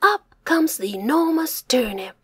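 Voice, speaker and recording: Slow, lively-voiced woman storyteller. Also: high-pitched, dry recording, clean studio sound. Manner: slow, expressive, sing-song storytelling. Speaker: children's story reader. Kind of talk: reading a picture book aloud to children.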